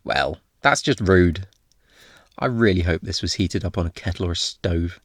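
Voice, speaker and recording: Man playing a cocky flirtatious thief, low-voiced. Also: normal speed, background noise, close to an everyday voice. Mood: sarcastic, cheeky. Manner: teasing, theatrical, sarcastic, swooping into mock quotes. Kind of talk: ASMR roleplay acting.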